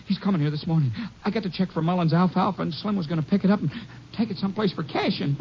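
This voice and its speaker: An actor playing a frightened, guilty farmer in a 1950s radio crime drama, stammering and pleading defensively. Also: male voice, high-pitched, fast, muffled recording. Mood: panicked, pleading. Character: frightened, guilty male farmer caught in a murder plot. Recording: noisy, band-limited old radio recording.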